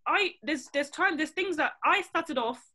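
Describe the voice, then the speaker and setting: A woman, founder of a language school, talking emphatically in a casual video-call interview from a room at home. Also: high voice. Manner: emphatic, animated, quick to laugh. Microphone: laptop webcam on a video call.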